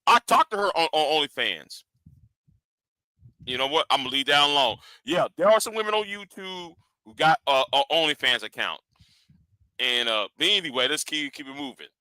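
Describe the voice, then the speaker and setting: A man's voice: on the high side, expressive, normal speed, bright, forceful tone, no room sound, poor audio. A man in his forties, a live stream host, rambling in casual commentary with lots of stammered repeats. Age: 40s.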